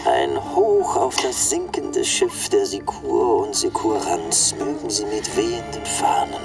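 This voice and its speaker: Creaky, smug scheming boss. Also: male voice, on the low side, sing-song, a little slow, over loud music, rough recording. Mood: gloating, conspiratorial. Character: smug scheming male insurance boss, contemptuous, mother's boy. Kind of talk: radio drama acting.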